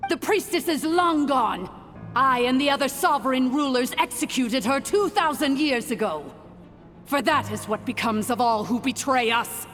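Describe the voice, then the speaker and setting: A woman's voice: high voice, lively intonation, normal speed, fairly bright tone. A woman playing an arrogant, cruel, ancient dragon ruler in a video game, haughty, grandiose and contemptuous. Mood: scornful, grandiose. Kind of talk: video game villain acting. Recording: long reverb, music behind.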